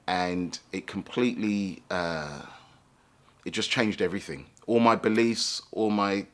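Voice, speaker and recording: A man with a deep, low-pitched voice tells his own life story. He speaks reflectively and thoughtfully, often pausing to find the right words. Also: fairly bright tone. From England, London. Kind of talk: personal life story told to camera.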